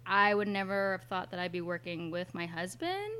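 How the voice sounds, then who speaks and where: A woman's voice: on the low side, big pitch swings, normal speed, sharp tone, smooth. A woman with a Californian American accent, chatting casually and telling personal stories, often acting out bits of dialogue. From San Francisco Bay Area, United States. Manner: chatty, quotes dialogue as she tells stories. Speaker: interview guest, kitchen manager. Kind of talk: casual conversational storytelling.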